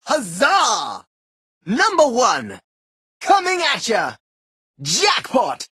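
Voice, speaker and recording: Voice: mid-range voice, huge pitch swings, drawn-out words, sharp tone, husky, no echo, studio quality, heavily acted. An actor voicing a bossy, earnest boy who leads kid operatives in a cartoon video game, clipped, commanding and melodramatic. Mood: eager.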